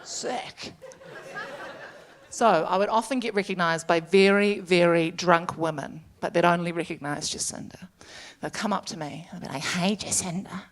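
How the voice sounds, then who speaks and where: A woman's voice: fairly low pitch, normal speed. A woman in her thirties from New Zealand with a New Zealand accent, doing a stand-up comedy set with chatty, self-deprecating asides. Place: comedy stage before a live audience.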